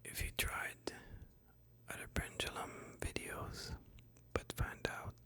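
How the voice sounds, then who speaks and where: A host whispering a sleep hypnosis session in a breathy, soothing, deliberate way, phrase by phrase. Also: bright, forceful tone.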